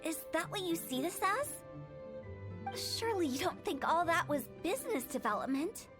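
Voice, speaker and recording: A woman's voice: very high-pitched, big pitch swings, moderate pace. A woman voicing a playful, teasing young woman who directs a funeral parlor, with a giggly, breezy manner, in game audio. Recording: game audio with background music.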